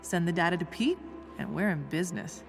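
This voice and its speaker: A woman's voice: fairly low pitch, lively intonation, fairly quick, gravelly, faint music behind. A woman voicing a tough, smart young scientist in a video game, dry and matter-of-fact. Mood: businesslike. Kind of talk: video game voice acting, naturalistic dialogue.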